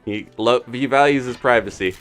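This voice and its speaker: A man's voice: mid-range voice, normal speed, fairly bright tone, faint music behind. A man doing casual live video game commentary, thinking aloud in a playful, rambling, muttering way.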